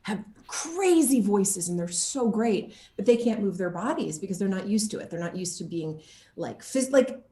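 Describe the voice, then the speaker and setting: A woman's voice: medium pitch, moderate pace. A woman chatting casually as an interviewed actor, telling stories expressively and acting out quotes as she goes. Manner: animated, expressive storytelling, quoting others as she goes. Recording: echoey room.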